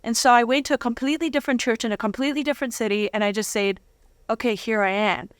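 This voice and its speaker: High, clear-voiced woman giving dramatic testimony. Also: fairly even intonation, fast, no room sound, clean studio sound. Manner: dramatic, candid storytelling with slangy humour. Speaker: interview guest telling her testimony. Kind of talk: animated personal testimony.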